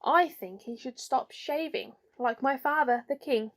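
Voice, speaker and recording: A woman's voice: high-pitched, a little slow. A woman voicing a bossy, boastful young princess with a haughty, grandly matter-of-fact manner in a children's storybook.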